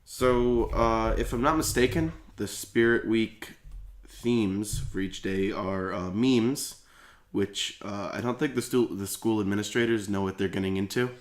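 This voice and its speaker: A teenage boy co-hosting a school podcast, agreeable and quick with little yeahs. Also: fairly low pitch. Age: teens. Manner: agreeable, lots of backchannel yeahs. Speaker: podcast co-host. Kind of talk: casual chat.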